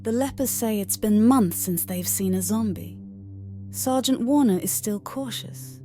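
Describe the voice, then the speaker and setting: A woman playing a calm, reassuring doctor in an audio comic, with a soothing, measured manner. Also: mid-range voice, faint music behind, close to an everyday voice. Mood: calm concern. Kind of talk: audio comic dramatic acting.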